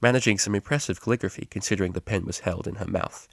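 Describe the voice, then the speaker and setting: Low-pitched man narrating a story. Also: fast talker, gravelly, dry recording, studio quality. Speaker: amateur fan-fiction reader. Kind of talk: scripted story narration with character voices.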